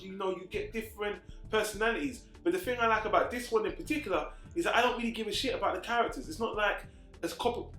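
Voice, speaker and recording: A man's voice: sits high, fairly bright tone. A man hosting a casual movie review, emphatic and blunt in his opinions, swearing casually. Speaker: host of a film review channel. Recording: roomy echo with faint music.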